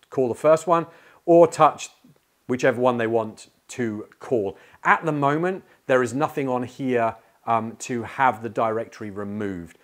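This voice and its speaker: A man in his forties presenting a tech product informally to camera, explaining as he goes with hesitant pauses, on a lapel mic in a small studio room. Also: mid-range voice.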